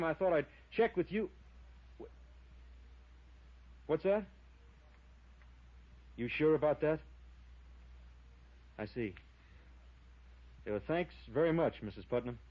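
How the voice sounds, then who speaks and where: An actor playing a plain-spoken, cautious deputy sheriff in a 1950s radio drama, folksy and matter-of-fact. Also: male voice, on the high side. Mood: businesslike. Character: plain-spoken, cautious small-town male deputy sheriff, dryly teasing. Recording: muffled vintage radio broadcast.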